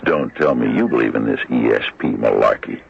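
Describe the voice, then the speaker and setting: An American man playing a gruff, weary, skeptical small-town sheriff, halting and sardonic, in a radio mystery drama. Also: a little hiss or hum. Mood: scornful, disbelieving. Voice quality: gravelly, husky, very deep. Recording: dull lo-fi old radio recording.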